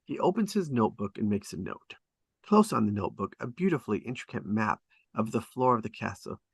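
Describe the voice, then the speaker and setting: Guy reading out stage directions, deliberate n descriptive, lowish voice, really dry close sound. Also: big pitch swings, vocal fry, slightly rough, no echo. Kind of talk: scripted narration at a table read.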